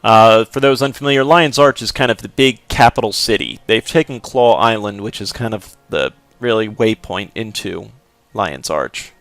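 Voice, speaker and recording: A man casually commenting on his game playthrough, relaxed and rambling with fillers. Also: on the low side, normal speed, few pauses, no room sound. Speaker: gameplay commentator. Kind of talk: casual let's play commentary.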